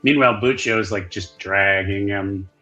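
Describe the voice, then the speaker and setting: A man at a tabletop roleplaying game, casually describing his character's actions in a halting, jokey way with music behind him. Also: lowish voice, dull audio. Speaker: tabletop RPG player.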